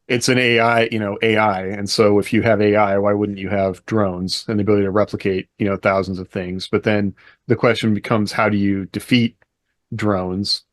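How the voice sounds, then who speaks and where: Low-voiced joking male podcast host. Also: flat delivery, moderate pace, no echo. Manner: joking, loose, leads the talk with questions. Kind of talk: casual panel discussion.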